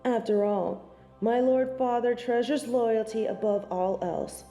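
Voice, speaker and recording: A snobby female angel of judgement, acted by a woman, speaks with icy, archaic formality and open scorn. Her tone is soft-edged and mellow, and her words come slowly. The sound is roomy and echoing, with music underneath, as in an audio roleplay. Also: fairly high pitch. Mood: proud, dismissive. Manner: haughty, formal, archaic phrasing, disdainful. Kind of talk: scripted audio roleplay acting.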